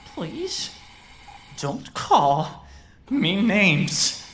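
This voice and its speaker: A woman's voice: medium pitch, sing-song, extremely slow, a bit bright, full character voice. A woman playing a childlike robot boy in an audio drama, polite but plaintive and petulant, with menace underneath. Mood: wounded, pleading.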